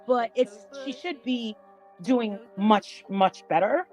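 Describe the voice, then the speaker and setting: A woman chatting casually as a panel guest, rambling and haltingly repeating her words as she goes. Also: a little high, a little mellow, faint music behind. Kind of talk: casual gossip chat.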